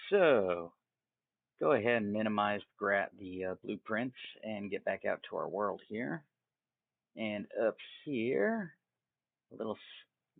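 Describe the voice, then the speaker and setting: A phone-like, dry recording carries a man hosting a casual software tutorial. His lowish voice is thick with fry. He takes his time through each step, informal and folksy, as if thinking aloud. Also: dry recording. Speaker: tutorial host. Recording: tinny, phone-like narrow band.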